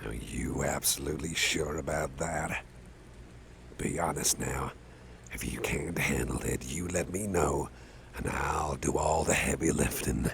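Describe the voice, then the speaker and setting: A man playing a caring, affable boss in an ASMR roleplay, talking softly in a gentle, hesitant, chatty way with a gravelly voice. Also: a little slow, heavily acted. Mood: concerned, tender. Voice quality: deep, gravelly, breathy at times. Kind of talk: ASMR roleplay, soft close personal talk. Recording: faint background noise.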